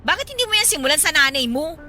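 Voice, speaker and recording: A woman's voice: sits high, very animated, very fast, pushed and bright, faint music behind, heavily acted. A young woman with a Filipino accent playing an embittered, proud, blunt older sister in a radio drama. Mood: scornful. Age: young adult. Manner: scornful, blunt. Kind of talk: dramatized radio love story.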